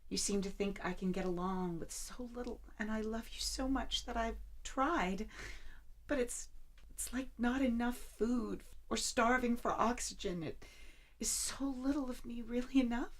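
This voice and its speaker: A woman's voice: a little high, unhurried. A woman playing an anguished, lovesick woman pleading with her lover, earnest and emotional, in an audio drama. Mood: distraught, pleading.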